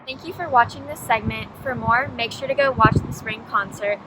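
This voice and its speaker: A student reporter introducing and closing a school news segment cheerfully. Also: female voice, on the high side, normal speed. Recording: loud traffic noise outdoors.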